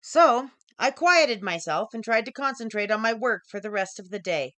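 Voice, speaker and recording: A woman giving an expressive scripted reading of her own short story as its author. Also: a little high, normal speed, dry recording.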